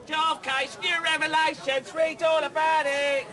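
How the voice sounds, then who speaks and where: Very high-pitched newspaper hawker. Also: male voice, little pitch movement, a little slow, pushed and bright, faint music behind, a faint crowd, full character voice. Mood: insistent hawking. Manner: repetitive sing-out calling. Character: street newspaper seller crying headlines. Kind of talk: radio drama street cry.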